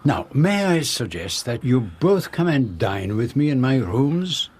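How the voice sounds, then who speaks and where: An actor playing a courteous, self-assured middle-aged Belgian detective in a radio drama, speaking formally with a French-speaking Belgian accent. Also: male voice, medium pitch, very animated, unhurried, a little mellow, husky. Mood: courteous, wry. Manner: formal, precise, dignified. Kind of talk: radio drama dialogue and first-person narration.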